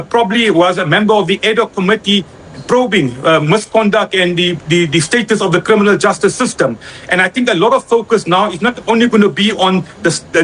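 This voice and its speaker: A man giving a live news report as a reporter, somewhat halting with repeated words. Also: high-pitched, fairly bright tone, slight background noise, low-quality recording.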